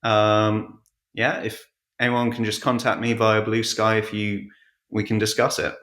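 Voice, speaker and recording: A man chatting casually as an interview guest, hesitant and low-key, with a roomy sound around his voice. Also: flat delivery, a little slow, a bit bright. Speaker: podcast guest, audiobook narrator. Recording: echoey, roomy voice pickup.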